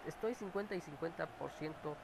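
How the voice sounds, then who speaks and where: A man's voice: on the high side, a little fast, vocal fry, noisy background. A man with a Mexican accent and a cold, chatting casually while playing and swearing at the plays. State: has a cold. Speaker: gamer commentating his own match. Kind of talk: casual gameplay chat. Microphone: clip-on mic near his mouth.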